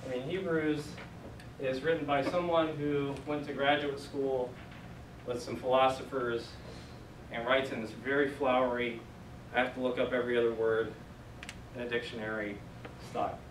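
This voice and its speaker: A man's voice: mid-range voice, flat delivery, normal speed, long pauses. A young man teaching a Greek class in a casual, conversational way, recorded by a far camera in a church meeting room. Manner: casual, conversational, many fillers. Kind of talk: classroom lecture. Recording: echoey room from far off, noisy.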